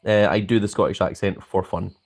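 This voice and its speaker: A man's voice: deep voice, steady tone, brisk. Chatty man reading out stream chat. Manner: chatty and friendly, with frequent ums and uhs. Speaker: live game streamer. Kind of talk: casual live-stream chat, reading viewer comments.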